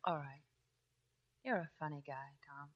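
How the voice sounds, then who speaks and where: Wounded sweetheart: low voice, gentle, halting, wistfully tender, dull vintage radio drama. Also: female voice. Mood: gentle, weak. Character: tender, wounded woman bidding a sailor farewell. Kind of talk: 1940s radio drama acting. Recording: dull, distant 1940s radio broadcast.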